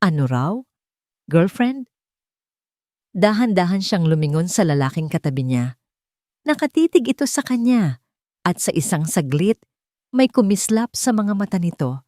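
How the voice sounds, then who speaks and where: A woman narrates a dramatic romance audio story in a fairly low voice. She places short phrases deliberately to build suspense. The sound is dry and close, with little room around it. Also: big pitch swings, dark tone, dry recording.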